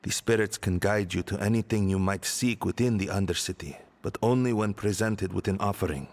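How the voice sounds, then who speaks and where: A man playing a grave, stoic, guilt-ridden warrior in a fantasy video game, speaking in a measured, halting way. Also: fairly low pitch, a little mellow, lightly acted. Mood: solemn, resolute. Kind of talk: video game dramatic dialogue.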